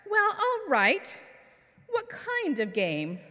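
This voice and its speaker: A woman's voice: very high voice, huge pitch swings, drawn-out words, sharp tone, voice pushed up, exaggerated voice. A woman voicing a sly, greedy old male fox landlord in a children's story, stern and emphatic as he bargains. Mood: eager. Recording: echoey hall with long reverb.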